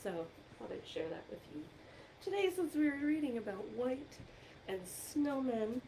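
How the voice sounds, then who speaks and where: A woman leading an interactive storytime for young children as a librarian, encouraging them with questions and pauses for answers, recorded on a computer microphone. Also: high voice, big pitch swings, mellow, a little hiss or hum, low-quality recording. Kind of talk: interactive read-aloud and singing for young children.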